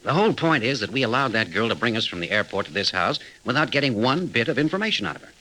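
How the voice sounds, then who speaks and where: A level-headed adventure leader in an old American radio serial. The delivery is rapid, clipped and forceful, with lively swings in pitch, over a slightly noisy vintage recording. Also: male voice. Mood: reasoning firmly. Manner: no-nonsense, clipped, commanding. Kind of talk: 1940s radio acting.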